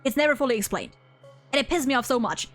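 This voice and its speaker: A woman's voice: high-pitched, sing-song, quick pace, fairly bright tone, soft background music. A woman hosting a casual, unscripted game discussion, speaking in an emphatic, opinionated, rambling way. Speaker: host of a video game story ranking discussion.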